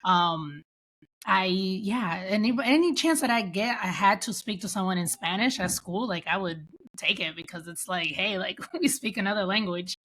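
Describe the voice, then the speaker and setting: Casting: female podcast guest, US-raised, mid-pitched, informal and anecdotal, slipping into quoted dialogue. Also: moderate pace, a bit bright. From United States, Dominican family. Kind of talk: personal storytelling in casual interview.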